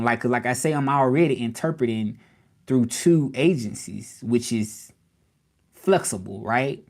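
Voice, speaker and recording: A man's voice: mid-range voice, darkish tone. A man from Memphis with a Southern accent, rambling casually on a live stream and asking his viewers directly what they would do. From Memphis, Tennessee, US South. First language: English. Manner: rambling, repeats himself, questions the viewers directly.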